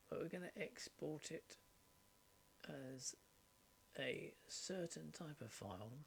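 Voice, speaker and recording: A man narrating an informal software tutorial, explaining step by step in short, halting phrases. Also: on the high side, vocal fry, poor audio. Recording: steady background noise, mouse clicks. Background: faint noise and clicking.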